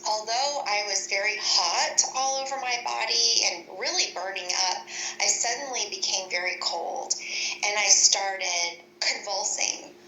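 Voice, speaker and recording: A woman telling her personal story as an interview guest, deliberate and matter-of-fact. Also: medium pitch, sharp tone. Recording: echoey room, faint background noise.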